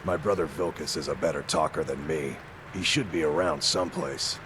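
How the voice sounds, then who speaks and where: An actor playing a blunt, plain-spoken warrior in a video game. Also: male voice, rumbling low, moderate pace. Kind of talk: video game character dialogue. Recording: clear background noise.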